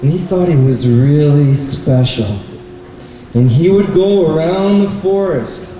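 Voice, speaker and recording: Slow, dark-voiced man storytelling in echoey room. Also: on the high side, lively intonation. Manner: deliberate, asks the children questions. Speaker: puppet show presenter and storyteller. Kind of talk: children's storytelling to a live audience. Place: indoor bookstore event, live. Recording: echoey room, distant mic, faint noise.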